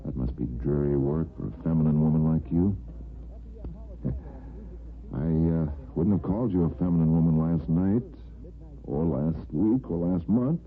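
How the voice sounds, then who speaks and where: A man's voice: very deep voice, normal speed, pauses often. An actor playing a suave, persistent neighbor courting a woman, teasing and wordy, in an old-time radio drama. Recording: muffled vintage radio recording, faint music.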